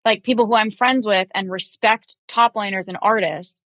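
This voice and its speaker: A woman's voice: medium pitch, fairly even intonation, moderate pace, fairly bright tone, no room sound, studio quality. A young woman guest talking candidly in a casual podcast chat, with many fillers and restarts. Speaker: guest composer and vocalist.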